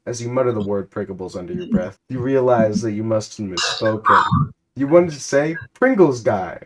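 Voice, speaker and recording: A man giving a comic dramatic reading of a story, in a deadpan manner, sometimes stumbling and rereading lines. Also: mid-range voice, moderate pace, crowd noise, poor audio. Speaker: reader.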